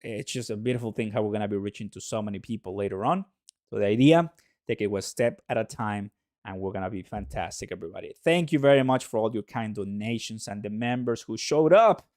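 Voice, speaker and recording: A man from Mexico, a native Spanish speaker with a Mexican accent, hosting a livestream in an excitable, hype-driven way and repeating phrases for emphasis. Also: fairly low pitch, fast, no echo, clean studio sound. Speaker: livestream host. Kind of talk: excitable livestream commentary to viewers.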